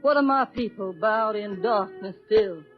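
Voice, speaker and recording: A woman's voice: mid-range voice, a little slow, lightly acted. An actress playing a dignified, wronged woman, proud and grieving, in solemn, impassioned 1940s radio oratory. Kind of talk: 1940s radio acting, declamatory. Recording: muffled old radio broadcast, faint music.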